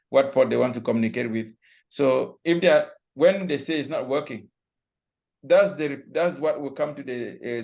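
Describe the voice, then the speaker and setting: A man's voice: medium pitch, gravelly. A man from Cameroon, a cloud security expert, explaining his workday in a loose, step-by-step way with frequent restarts on a video call. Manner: loose, restarting, walks through examples step by step. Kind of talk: online panel talk, explaining his job. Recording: muffled call audio.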